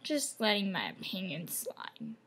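A woman's voice: medium pitch, huge pitch swings, measured pace, pushed and bright, slight background noise. A young woman losing her voice, chatting casually over gameplay with rambling, half-whispered asides from a webcam at home. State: losing her voice, sore throat. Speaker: gaming channel host. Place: home room.

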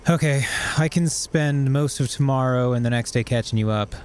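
An actor playing a weary, kind, overworked office colleague in a naturalistic audio drama, earnest and a little hesitant. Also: male voice, mid-range voice, moderate pace, a little mellow, slight background noise, lightly acted. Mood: tired, focused.

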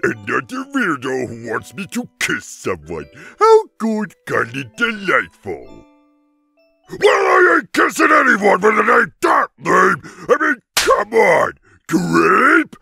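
A huge blustering cartoon monster with a gritty, creaking rasp. His sarcastic delivery leaps wildly from grumble to roar, with hard forceful edges. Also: high voice, wildly swooping pitch, takes it slowly, soft background music, full character voice. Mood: sarcastic outrage. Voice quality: gravelly, raspy. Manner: sarcastic, explosive outbursts. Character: huge blustering grumpy turtle-monster villain. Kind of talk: animated fan cartoon acting.

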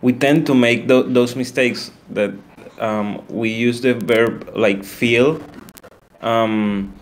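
A man taking part in a book club on a video call, speaking haltingly and repeating words as he makes a point. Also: mid-range voice, fairly even intonation. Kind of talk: casual video-call discussion.

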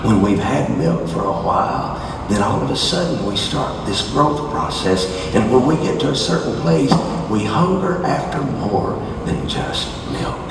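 Older preacher booming through an echoey hall. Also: male voice, animated, unhurried, creaky, distant mic, noisy background. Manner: swings from hushed low lines to shouted high peaks; moves about the stage gesturing while preaching. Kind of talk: sermon. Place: large dark auditorium stage.

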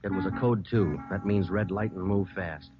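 Man playing clipped radio detective, low voice, quick matter-of-fact delivery, businesslike, muffled vintage recording. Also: music in the background, lightly acted. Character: clipped, businesslike male police detective, tough and dry. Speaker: detective narrator. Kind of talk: 1950s radio drama acting with scripted narration.

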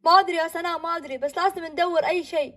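A woman playing a stern, worried father in a homemade family skit, quick and exasperated. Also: very high voice, fairly even intonation, sharp tone. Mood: alarmed.

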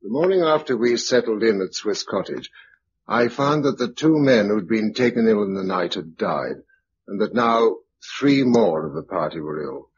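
An actor as British survivor, muffled old recording. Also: male voice, lowish voice, natural voice. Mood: grim, measured. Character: sighted male survivor leading blind people, resolute, urgent. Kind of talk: British radio drama acting with first-person narration. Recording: dull, noisy old radio broadcast recording.

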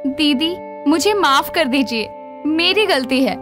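A sweet, conciliatory young daughter-in-law from a cartoon, played by a woman. The voice is very high and bright, politely placating, over faint music. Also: animated, slow, exaggerated voice.

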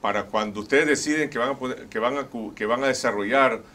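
A man's voice: medium pitch, brisk, sharp tone. A middle-aged man hosting a TV interview in a studio, putting formal, probing questions to his guests. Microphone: lapel.

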